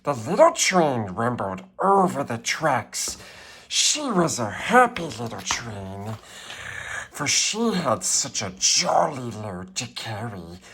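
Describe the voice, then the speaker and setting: A man voicing a small, wretched, fawning creature with a strangled raspy throat, hissing and muttering as he reads a children's storybook. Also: medium pitch, very animated, slow, a bit bright, exaggerated voice. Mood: gleeful, savoring. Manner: hissing, erratic, muttering asides. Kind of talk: character reading of a children's storybook.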